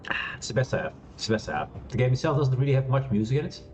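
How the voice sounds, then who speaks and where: Lively-voiced male streamer, chatty and loud. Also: mid-range voice, very fast, muffled recording, slight background noise. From Europe. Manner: chatty, rambling, laughs often, many fillers and restarts. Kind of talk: casual gameplay commentary. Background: quiet game music.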